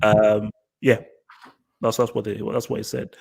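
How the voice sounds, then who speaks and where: A young man co-hosting a casual album review, speaking in a relaxed, hesitant way with many ums. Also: lowish voice, measured pace, vocal fry. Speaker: music review co-host.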